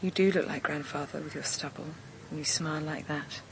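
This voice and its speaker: A woman's voice: sits low, fairly even intonation, fairly quick, gravelly, slight background noise, poor audio. An actress playing an anxious, principled young woman in a radio drama, with an earnest, questioning manner. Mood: troubled.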